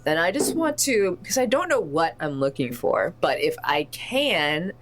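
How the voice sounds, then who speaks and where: A woman with a fairly deep voice plays a tabletop roleplaying game. She improvises casually, thinking aloud as she goes. Her delivery is animated and chatty. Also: unhurried.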